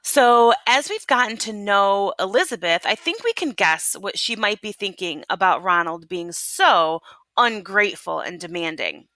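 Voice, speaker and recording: A woman's voice: medium pitch, animated, measured pace, pushed and bright, no echo. A woman teacher reading a picture book aloud expressively and prompting young listeners with questions. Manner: instructional, prompting. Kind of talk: expressive storytelling with questions to children.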